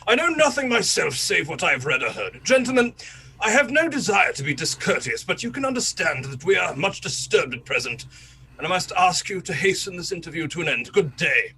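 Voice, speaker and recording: Man playing bright-voiced grieving colonel, noisy recording. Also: sits high, big pitch swings, a little fast, exaggerated voice. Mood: stiff, dismissive. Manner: stiff, formal, clipped politeness. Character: grieving, courteous but curt colonel of fifty. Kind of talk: radio drama acting. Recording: clear background noise.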